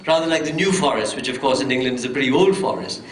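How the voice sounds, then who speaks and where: A man on a festival panel telling a travel story at length, pausing often with hesitations and restarts. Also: medium pitch, expressive. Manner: rambling, full of hesitations and restarts. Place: festival hall on stage. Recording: roomy distant hall mic with faint noise.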